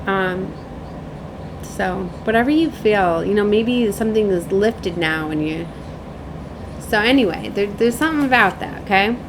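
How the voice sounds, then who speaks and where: A woman's voice: medium pitch, a little slow, noisy background. A woman giving a casual spiritual card reading to viewers, rambling informally and often checking in with the listener. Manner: informal, rambling, checks in with "right?" and "you know". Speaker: tarot and oracle card reader.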